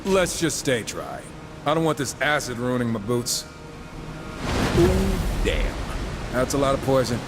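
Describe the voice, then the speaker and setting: A man's voice: medium pitch, very animated, unhurried, pauses often, music in the background. An actor playing a wisecracking, irreverent horseman of the apocalypse in a game, with sarcastic, casual banter. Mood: sardonic. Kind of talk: video game cutscene acting.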